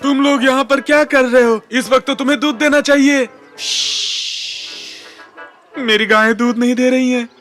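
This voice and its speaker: A man's voice: extremely high pitch, steady tone, brisk, fairly bright tone, faint music behind. A man playing an exasperated, put-upon farmer in a cartoon dub, fussy and complaining. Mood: indignant, flustered.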